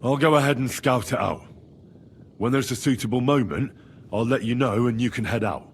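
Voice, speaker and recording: An actor playing a loyal, down-to-earth young scout in a video game, speaking in a straightforward way. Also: male voice, medium pitch, normal speed, slight background noise, lightly acted. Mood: businesslike. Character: plain-spoken, loyal young adventurer and scout. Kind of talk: video game dramatic acting.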